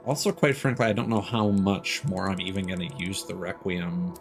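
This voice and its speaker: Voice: low voice, steady tone, moderate pace. A game streamer giving casual, profane live commentary with sardonic, joking asides. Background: video game music and sounds.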